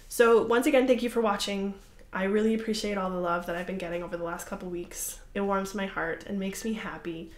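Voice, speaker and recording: Rambling young woman in an echoey room. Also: mid-range voice. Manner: chatty and rambling, with many fillers and apologetic asides. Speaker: podcast host. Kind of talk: casual chat to camera.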